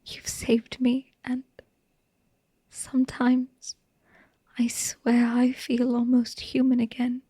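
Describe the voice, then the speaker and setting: A woman playing a devoted, tender and haunted vampire wife in a hushed, breathy, intimate ASMR bedtime monologue. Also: a little high, monotone, dry recording. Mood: soft, loving. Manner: slow, tender, halting delivery with lingering pauses.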